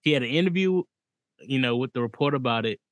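A man co-hosting a podcast, chiming in quietly and reacting to a story. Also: mid-range voice, expressive, fast talker. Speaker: podcast co-host. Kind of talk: casual chat, reacting.

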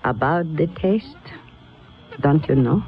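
A woman's voice: sits low, animated, slow pace, full character voice. A stern old sorceress from a 1970s radio drama. She is crafty and teasing, delivering each phrase with grand deliberation. The sound is dull, vintage radio. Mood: teasing, insistent. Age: older.

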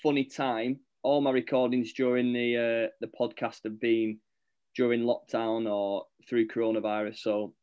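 A man's voice: medium pitch, level tone, normal speed, a little mellow, clear, resonant tone. A man hosting a school podcast, reading a scripted welcome and then chatting in an upbeat, encouraging way. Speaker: podcast host. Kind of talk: school podcast host, scripted intro then casual chat.

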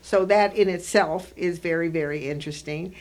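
A woman's voice: on the low side, normal speed, darkish tone. A woman hosting a conversational radio interview in a studio, effusive and repeating words for emphasis.

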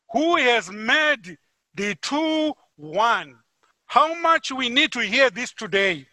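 A Zimbabwean man delivers a sermon in a high, bright voice. He speaks slowly and deliberately and builds up emphasis on his key points. The sound is close and dry. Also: dry recording. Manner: measured, deliberate preaching that rises with emphasis. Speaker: guest preacher. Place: church.

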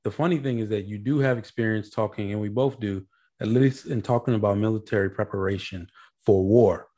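A man's voice: fairly low pitch, fairly quick, dark tone. A man in his mid-thirties co-hosting a casual podcast, blunt and joking, with some swearing. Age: 30s. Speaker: podcast co-host.

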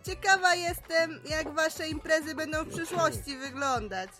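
A wry mother, high-pitched, gossipy, colloquial, middle-aged, audio drama. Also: unhurried, loud music behind the voice. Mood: wry, chatty. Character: chatty, wry mother at a family party. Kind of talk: Polish audio drama dialogue.

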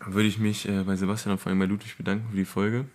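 A man's voice: fairly low pitch, level tone, very fast, a little mellow. A young man hosting a podcast in casual, slangy, colloquial chat among friends. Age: young adult. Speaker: podcast host.

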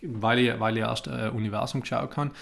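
A man's voice: medium pitch, fairly even intonation, a little fast, creaky. A man co-hosting a podcast, telling stories in casual, colloquial dialect. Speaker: podcast co-host. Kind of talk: casual banter and storytelling.

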